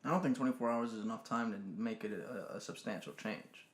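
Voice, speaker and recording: A man's voice: fairly low pitch, brisk, echoey room, a little hiss or hum. A man co-hosting a casual gaming podcast, talking in a laid-back, profane way and laughing often. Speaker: podcast co-host.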